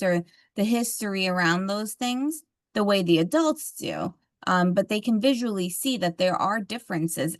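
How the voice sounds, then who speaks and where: A woman's voice: mid-range voice, dry recording. Woman leading a training session, dry sound. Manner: explanatory, steady, answers questions at length. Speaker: training facilitator. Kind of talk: conversational training presentation.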